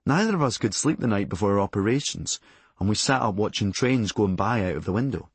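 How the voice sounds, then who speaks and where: A man with a Glasgow Scottish accent reading a scripted memoir, with a deadpan, darkly humorous delivery. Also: lowish voice, fairly quick, husky, dry recording. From Glasgow, Scotland. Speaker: audiobook narrator and author.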